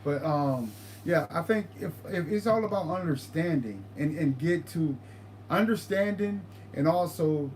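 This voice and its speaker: Man co-host, livestream chat, slightly higher voice, stammering restarts, faint hiss behind. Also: lively intonation, darkish tone. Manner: halting, repeats words and restarts a lot.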